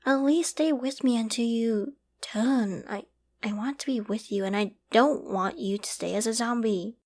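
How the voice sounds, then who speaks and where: Actress as a clingy, high-voiced apocalypse survivor woman. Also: a little mellow. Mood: pleading, insistent. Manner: emotional, halting and stammering, often dropping to whispers and sighs. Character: clingy, secretly obsessive young woman surviving a zombie apocalypse. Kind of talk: dramatic ASMR roleplay audio acting.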